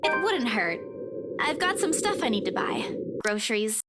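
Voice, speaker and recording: A lively young game heroine. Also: female voice, fairly high pitch, moderate pace. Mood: casual. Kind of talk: game dialogue. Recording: game audio over music.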